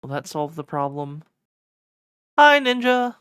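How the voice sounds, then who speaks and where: Excitable streamer chatting w/ viewers, voice swings all over, swears a bit, super dry mic. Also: mid-range voice, unhurried, smooth, no echo. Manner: excitable, profane, bantering with chat. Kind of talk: casual livestream chat and reading a visual novel aloud.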